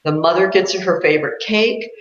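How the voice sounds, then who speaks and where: A woman co-hosting an interview, telling a story in an informal, enthusiastic and expressive conversational way. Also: fairly low pitch, moderate pace. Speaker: interviewer, co-host. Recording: roomy echo, dull lo-fi sound.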